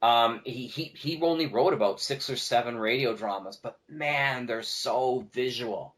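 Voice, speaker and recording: A man talking casually as a podcast guest, a teacher who tells stories in an animated way and acts out what people say. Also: mid-range voice, a little slow. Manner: animated storyteller, acting out quoted remarks. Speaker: podcast guest, teacher and audio drama producer. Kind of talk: casual conversational interview talk.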